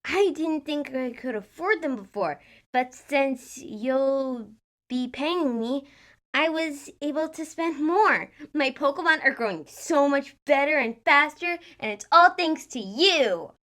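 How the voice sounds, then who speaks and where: A woman's voice: high-pitched, lively intonation, takes it slowly, fairly bright tone, pitched above the performer's own voice, heavily acted. A woman voicing a chatty, eager, earnest teenage girl trainer in a fan fiction story reading. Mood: chatty, grateful.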